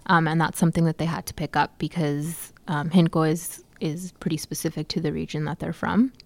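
Soft-spoken young woman, gravelly low voice. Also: flat delivery, a little fast, dry recording. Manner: soft-spoken, hesitant with many fillers. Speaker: filmmaker interviewee. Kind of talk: casual interview answers.

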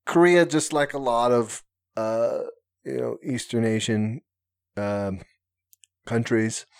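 A man's voice: mid-range voice, takes it slowly, gravelly. A man talking casually on a podcast, hesitant, full of fillers and restarts, with self-mocking humor. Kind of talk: casual conversation.

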